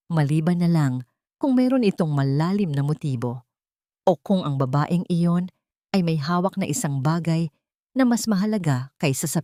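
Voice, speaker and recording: Female audiobook narrator for melodrama. Lowish pitch, dry recording, scripted dramatic storytelling. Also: a little mellow, no room sound.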